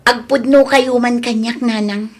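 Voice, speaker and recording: An actress with an Ilocano Filipino accent playing a concerned, scolding adult daughter in an audio drama, earnest and admonishing. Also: female voice, a little high, steady tone, measured pace.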